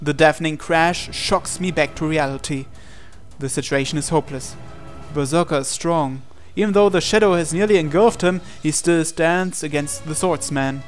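A man reading a visual novel aloud as a dramatic narration, with deliberate pauses. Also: on the high side. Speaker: gaming channel host. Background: game music.

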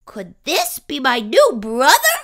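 A storybook poodle pup, voiced by a woman reading aloud, young, male and full of hope. He speaks very high and slowly, with a bright tone. He sounds eager and full of wonder. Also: huge pitch swings, heavily acted. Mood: hopeful wonder. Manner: wondering, slow and drawn out.

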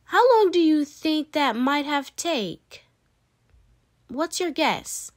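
A woman's voice: sits high, animated, slow, dry recording, studio quality. A woman reading a children's picture book aloud expressively, with dramatic emphasis and playful asides. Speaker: read-aloud storyteller.

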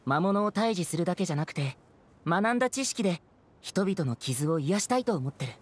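A man's voice: sits high, expressive, brisk. A man voicing an earnest, caring young healer in an anime game. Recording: faint noise behind the voice.

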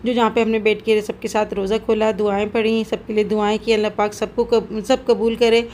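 A woman's voice: mid-range voice, level tone, brisk, a little mellow, slight background noise. A middle-aged woman, chatting casually as she cooks in her home kitchen and explains each step with lots of fillers. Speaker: cooking vlogger. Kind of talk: casual cooking narration. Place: home kitchen, then rooftop.

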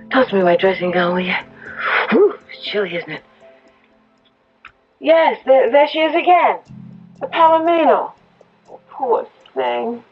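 An actress playing a stubborn, soft-hearted young city wife on a ranch in a 1970s radio drama. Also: female voice, mid-range voice, breathy edge, muffled recording. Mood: drowsy, gentle. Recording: band-limited old radio recording, music behind.

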